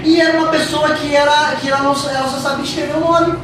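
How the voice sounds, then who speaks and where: A woman's voice: high voice, steady tone, fast talker. A woman preaching a sermon in a church, emphatic and repetitive. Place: church hall. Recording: echoey church hall from far off, noisy.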